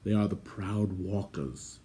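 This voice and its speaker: A man voicing a noble warrior lord in a storybook, formal, commanding and gently stern. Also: lowish voice, normal speed, lightly acted. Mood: grave.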